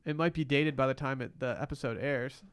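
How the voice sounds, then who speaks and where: A man's voice: medium pitch, fairly quick, clear, resonant tone, no echo, clean studio sound. A man co-hosting a podcast, chatting informally and bluntly in a slangy recap of a reality show. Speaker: podcast co-host. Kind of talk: casual reality-TV recap chat.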